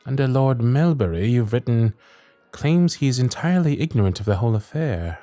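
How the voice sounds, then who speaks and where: A man's voice: mid-range voice, lively intonation, brisk, warm tone, dry recording, soft background music, natural voice. A man playing a proud, teasing young Victorian lord in an ASMR roleplay audio drama, with formal, dry, sardonic period speech.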